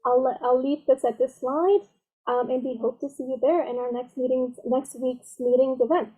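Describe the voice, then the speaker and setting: A woman's voice: sits high, steady tone, normal speed, warm tone, husky. A woman wrapping up an online meeting and inviting people to upcoming workshops, friendly in manner. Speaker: project manager closing a meeting. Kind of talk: online presentation talk. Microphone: computer mic on video call. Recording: tinny phone-like call audio.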